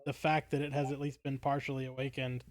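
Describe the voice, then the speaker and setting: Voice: medium pitch, little pitch movement, fast, dry recording. A podcast co-host with a mid-range voice of unclear gender, in casual discussion. The delivery is tentative, as if thinking aloud, and the sound is very dry.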